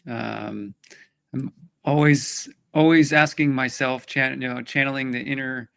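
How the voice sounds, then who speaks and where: Gravelly-voiced man on a video call. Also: medium pitch, fairly even intonation, a little slow, a bit bright. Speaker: interview guest. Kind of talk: casual conversation. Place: home in France, remote call.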